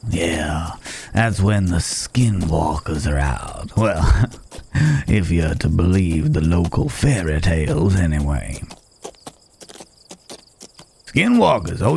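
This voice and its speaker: A rugged cowboy drifter, acted by a man for an ASMR roleplay, with a very low, gravelly voice. He talks slowly in folksy Old West phrasing, pausing as he goes, gently teasing and protective, with faint crickets in the night behind him. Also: slight background noise, heavily acted. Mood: wry, storytelling. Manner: folksy old-West drawl phrasing, unhurried, hesitant pauses. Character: rugged wandering cowboy stranger, gently teasing, dangerous but caring. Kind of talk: ASMR roleplay, intimate acted monologue to the listener.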